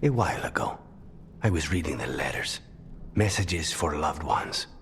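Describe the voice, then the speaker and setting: An actor playing a brooding, subdued, grieving man in a video game, halting and understated in his delivery. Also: very deep voice, expressive, a bit bright, a little hiss or hum. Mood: somber, quiet. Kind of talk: video game cutscene dialogue.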